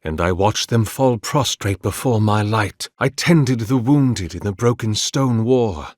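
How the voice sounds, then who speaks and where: An actor playing an ancient, weary, devout priest in a video game, speaking formally and eloquently with solemn dignity. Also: male voice, on the low side, animated, a little slow, darkish tone, no room sound, clean studio sound. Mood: dignified, reflective. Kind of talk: dramatic video game dialogue.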